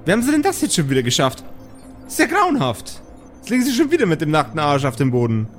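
A man's voice: a little high, wildly swooping pitch, fast, soft background music. A man voicing an exasperated, put-upon fishmob woman who scolds and grudgingly helps in an improvised audio play.

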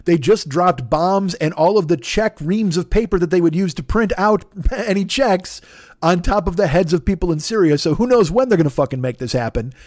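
A man hosting a podcast, telling a long comic story in a rambling, animated way, cursing constantly and acting out the exchanges he quotes. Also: sits high, no echo, studio quality. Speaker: podcast host. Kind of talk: profane comedic storytelling.

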